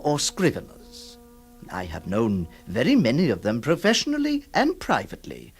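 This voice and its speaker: A man playing a flustered middle-aged lawyer who narrates and stammers, in an old radio drama. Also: medium pitch. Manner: hesitant, stammering, fussy. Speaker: narrator and lead actor.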